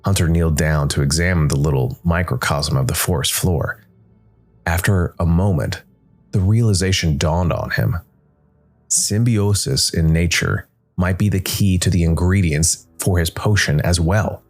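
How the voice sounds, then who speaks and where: A man narrating a scripted dark fantasy story in a measured way, with dramatic pauses. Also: sits low. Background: background music.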